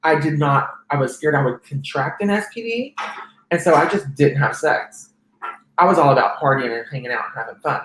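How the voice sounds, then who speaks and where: A man in his thirties with a soft, feminine-sounding voice, telling his life story as an interview guest, with frequent restarts. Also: a little high, expressive, fairly quick, reverberant, slight background noise, low-quality recording. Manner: rambling, many restarts and fillers. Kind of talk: personal testimony in conversation.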